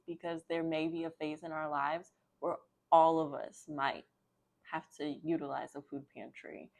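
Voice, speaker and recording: Chatty, lower-voiced woman hosting a read-aloud. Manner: rambling, conversational asides. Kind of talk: chatty read-aloud of a children's picture book.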